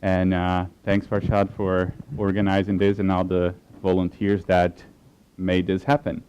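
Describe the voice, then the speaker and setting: A man whose first language is Portuguese gives a technical conference talk in a relaxed way, with many fillers and restarts. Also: low voice, unhurried, a little hiss or hum. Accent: Portuguese-speaking. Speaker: conference speaker.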